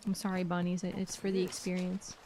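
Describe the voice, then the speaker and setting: A woman's voice: lowish voice, brisk, very noisy. Chatty woman streamer. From Canada. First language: Polish. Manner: chatty, animated storytelling, talks to chat. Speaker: gaming streamer. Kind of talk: casual chat while playing.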